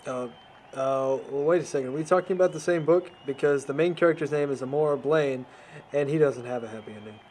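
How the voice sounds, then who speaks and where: A man's voice: medium pitch, quick pace, a little mellow, lightly acted. A man acting a shy, earnest young newcomer in an amateur audio drama, speaking politely and hesitantly over background noise. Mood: eager. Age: young adult.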